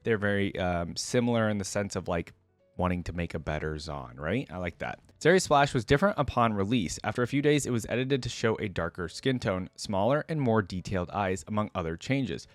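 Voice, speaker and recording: A man with a fairly low voice, recorded close and dry. He talks informally as a reaction host, thinking aloud and moving between casual commentary and reading aloud. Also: expressive, a little fast, dry recording.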